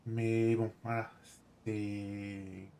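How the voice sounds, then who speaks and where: A man playing a hesitant, reserved character in improvised roleplay, speaking halting conversation that often trails off. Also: lowish voice, flat delivery, warm tone, a little hiss or hum. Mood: resigned, halting.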